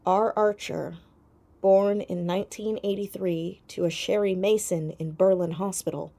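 An actress in a naturalistic audio drama playing a clever, persistent young amateur sleuth who is earnest, hesitant and probing. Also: female voice, mid-range voice, steady tone, a little slow, vocal fry, warm tone. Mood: cautious, earnest.

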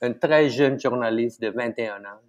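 Middle-aged man, Malaysian accent, Tamil native speaker, guest chef; halting, filler-heavy, chuckling delivery, mid-range pitch. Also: highs cut off. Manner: halting, many fillers and restarts, chuckles often. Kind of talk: casual conversational interview.

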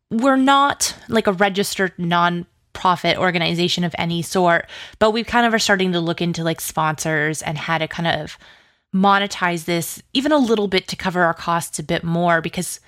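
Low-voiced woman, chatty and informal. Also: normal speed, a bit bright, no echo, studio quality. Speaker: interview guest, audio drama producer. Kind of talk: casual conversational interview.

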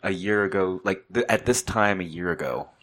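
A man's voice: low voice, moderate pace, a bit bright. A man co-hosting a casual chat show, rambling with lots of "like" and restarts. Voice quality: rambling with many "like" fillers. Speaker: podcast co-host. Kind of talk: casual banter.

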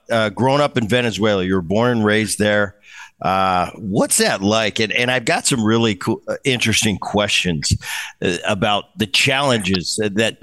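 A man hosting a podcast interview, agreeable and relaxed, with frequent fillers. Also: on the low side. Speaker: podcast host. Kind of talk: casual interview.

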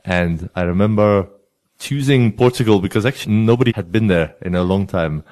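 Low-voiced man, measured. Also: darkish tone. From Belgium. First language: Flemish. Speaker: guest, yoga teacher. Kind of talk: relaxed interview storytelling.

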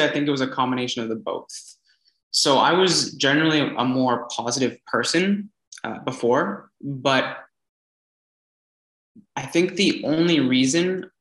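A young man in his twenties, speaking as an interview guest in a relaxed, earnest way, with many fillers and restarts. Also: medium pitch. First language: English. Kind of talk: casual storytelling interview. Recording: echoey room.

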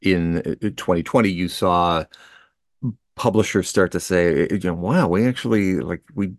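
Podcast cohost, lowish voice, dry mic, gets animated and squeaky when he's into it. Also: unhurried, no room sound. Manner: animated, pitch jumps up when enthused. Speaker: podcast co-host. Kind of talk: casual podcast conversation.

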